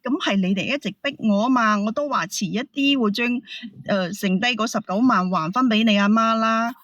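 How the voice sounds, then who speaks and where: A woman's voice: mid-range voice, brisk, fairly bright tone, lo-fi sound. A woman caller defending herself in an argument, complaining that she is being pushed. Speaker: caller, accused wife.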